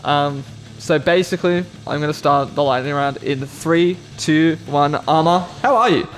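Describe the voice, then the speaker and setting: A man's voice: fairly high pitch, normal speed. A young man co-hosting a podcast, hesitant and full of fillers, with dry quips. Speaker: podcast co-host. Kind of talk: casual podcast chat.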